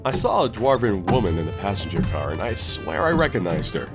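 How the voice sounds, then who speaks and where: An actor voicing a brash, good-natured young human fighter with an eager, goofy delivery. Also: male voice, deep voice, sing-song, brisk, music in the background. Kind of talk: comic dub voice acting.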